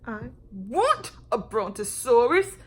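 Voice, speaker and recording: A woman voicing a spoiled, screeching little girl in a storybook, shrill and insistent as she throws a tantrum. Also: big pitch swings, slow pace, fairly bright tone, slightly rough, full character voice. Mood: stubborn demanding.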